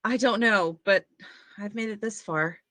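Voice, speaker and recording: A woman's voice: medium pitch, unhurried, sharp tone, dry recording. A woman playing a tense, defiant young woman fleeing a killer in a horror script table read. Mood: tense, resolute.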